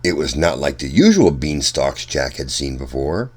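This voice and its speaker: A man reading a children's storybook aloud in an expressive way, addressing young listeners as a storytime narrator. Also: extremely low pitch, moderate pace, breathy edge. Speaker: storytime reader.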